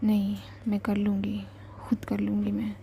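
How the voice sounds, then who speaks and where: A woman's voice: mid-range voice, monotone, normal speed, gravelly, warm tone, a little hiss or hum, close to an everyday voice. A woman voicing a caring, teasing young woman who pleads and soothes as she comforts her love. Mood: firm.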